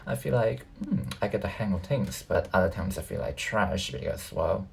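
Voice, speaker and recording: A man who natively speaks Cantonese, giving casual reaction commentary as a video host, haltingly thinking aloud. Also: sits low, animated, creaky, a little hiss or hum.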